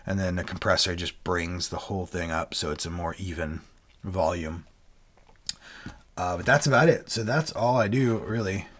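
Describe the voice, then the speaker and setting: A man who is a bit sick, his voice lower than usual, explaining his video setup in a relaxed, chatty livestream. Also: normal speed. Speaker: video creator and worship leader hosting a livestream. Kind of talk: casual how-to livestream talk. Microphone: desk microphone, webcam video.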